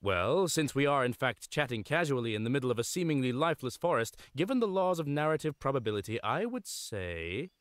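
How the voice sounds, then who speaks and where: A man voicing a loyal, dutiful knight retainer in a fantasy game, formal and courteous with a deadpan streak. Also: medium pitch, fairly quick, hardly any pauses, no room sound, close to an everyday voice. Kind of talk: fantasy game character acting.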